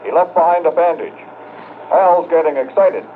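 Dutiful patrolman played by a man, high-pitched, clipped formal reporting, tinny car-radio sound. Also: moderate pace, close to an everyday voice. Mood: formal, urgent. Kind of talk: 1950s radio drama acting. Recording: tinny noisy vintage radio transmission.

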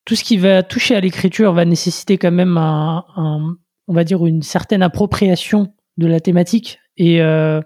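A podcast host with a mellow, warm tone puts long questions to a guest. The voice sounds dry and close, with halting restarts and frequent filler sounds. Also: mid-range voice, steady tone, no room sound. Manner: hesitant, many restarts and fillers. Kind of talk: interview questions.

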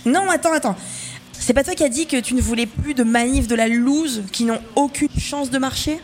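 An actress as a cheeky, high-voiced woman schemer. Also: moderate pace, darkish tone, soft background music, close to an everyday voice. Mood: enthusiastic. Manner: playful, theatrical, slangy. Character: cheeky, upbeat woman activist friend full of schemes. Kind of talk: French audio fiction acting.

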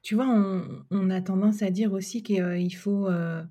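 A woman's voice: mid-range voice, steady tone, moderate pace, warm tone, slightly rough. A woman hosting a casual podcast interview, talking informally and responding to her guest. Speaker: podcast host.

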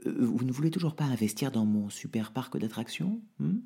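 A man voicing a hapless, blustering husband who stammers and protests loudly. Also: mid-range voice, sing-song, a little slow, pitched above the performer's own voice. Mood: nervously jovial.

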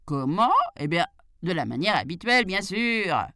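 A hungry, domineering storybook tiger, acted by a woman. The delivery is forceful and growly, swinging widely in pitch with drawn-out, impatient words. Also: lively intonation, clean tone, no echo, clean studio sound, full character voice.

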